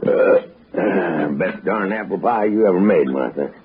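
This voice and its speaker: Folksy small-town man, swinging pitch. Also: expressive, unhurried. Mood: genial, evasive. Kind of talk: 1960s radio drama acting. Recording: narrow vintage radio recording, music behind.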